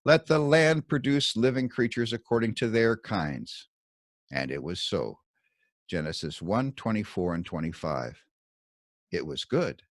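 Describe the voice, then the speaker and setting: A man reading his own scripted Bible commentary as narration, in measured, deliberate short phrases. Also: lowish voice, sing-song, no room sound, clean studio sound.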